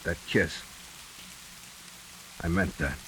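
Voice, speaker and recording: A man's voice: sits low, drawn-out words, pushed and bright, heavily acted, rough recording. A male actor playing a hard-boiled, world-weary bank robber with a decent streak, terse and slangy in 1940s radio noir style. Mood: earnest, brooding. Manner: terse, slangy tough-guy talk. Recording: hissy 1940s radio recording, rain behind.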